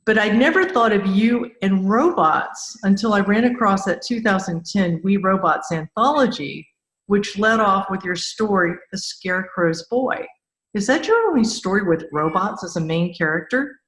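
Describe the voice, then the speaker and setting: A woman's voice: mid-range voice, moderate pace. Effusive woman podcast host, echoey sound. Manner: effusive, enthusiastic. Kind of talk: conversational podcast interview.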